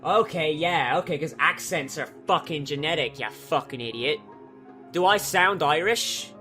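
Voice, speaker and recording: A man's voice: a little high, normal speed, sharp tone, soft background music. A man reading a visual novel aloud, cutting in with sarcastic, sweary jokes. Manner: sarcastic, swears freely, breaks off to joke. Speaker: let's player.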